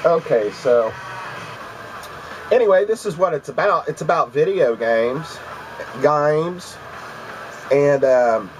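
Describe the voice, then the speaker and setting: A man's voice: fairly high pitch, expressive, takes it slowly, long pauses, slight background noise, rough recording. A man with a congested voice, rambling casually with lots of ums as he vlogs about his video game pickups at home. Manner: rambling, many ums and restarts. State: congested from allergies. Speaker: video game collecting vlogger.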